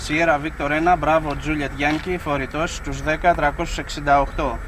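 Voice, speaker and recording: Greek male ham radio operator, slightly high voice, repetitive procedural call-outs, recorded outdoors over clear background noise. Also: little pitch movement. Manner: repeats words and call signs. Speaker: amateur radio operator making a field contact. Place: outdoors on a hill. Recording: noisy outdoor recording with vehicle sounds.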